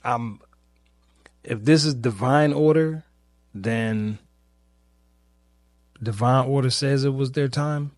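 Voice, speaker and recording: Male podcast host, medium pitch, slow and searching delivery, informal reflective monologue, very dry close sound. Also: a little mellow, dry recording. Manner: halting, searching, with long pauses and repeated phrases; informal, rhetorical questioning. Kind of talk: reflective personal monologue about grief.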